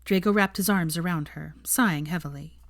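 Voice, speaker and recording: A woman narrating a fanfiction audiobook in a calm, even storytelling voice. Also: fairly low pitch, fairly quick, dry recording. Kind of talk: audiobook reading.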